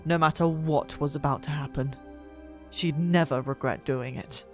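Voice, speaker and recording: A narrator reading fantasy fiction in a dramatic, deliberate and expressive style. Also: mid-range voice. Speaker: audiobook narrator. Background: soft background music.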